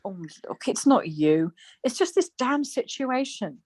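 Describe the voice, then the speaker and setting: A woman's voice: high-pitched, sing-song, unhurried, darkish tone, no room sound, exaggerated voice. A woman acting a scatty, easily exasperated wife in a domestic radio comedy. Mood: stressed. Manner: flustered, snippy.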